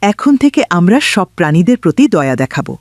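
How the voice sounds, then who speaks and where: A performer voicing a pious, gentle cartoon character who consoles and moralises in an earnest, reassuring way. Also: medium pitch, big pitch swings, fast talker, no echo, clean studio sound, close to an everyday voice. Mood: consoling, earnest.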